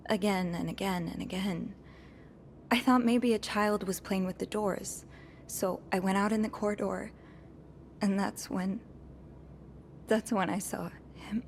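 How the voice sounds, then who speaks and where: A woman's voice: medium pitch, a little hiss or hum, close to an everyday voice. A woman playing a nervous young hotel maid. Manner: hesitant, stammering. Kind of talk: video game voice acting.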